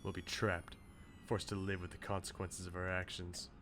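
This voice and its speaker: A man reading a brooding, self-sacrificing young man's inner thoughts from a visual novel, low and subdued. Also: level tone, normal speed, a bit bright, a little hiss or hum. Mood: wistful. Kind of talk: visual novel read-aloud.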